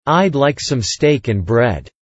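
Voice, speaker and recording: Slow, husky man reading lesson replies. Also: mid-range voice, sing-song, no echo, lo-fi sound. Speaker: English dialogue reader. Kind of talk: scripted language-lesson reading.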